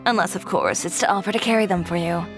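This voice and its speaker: A woman voicing a poised, self-assured young fashion designer who speaks calmly and matter-of-factly with dry teasing. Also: on the low side, fast, close to an everyday voice. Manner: calm, composed, matter-of-fact with dry teasing. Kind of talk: game cutscene dialogue. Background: game soundtrack music.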